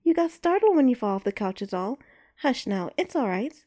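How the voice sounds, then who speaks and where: A woman voicing a kind, motherly grown woman who speaks in a soothing, gently firm way. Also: a little high, sing-song, brisk, voice pushed up.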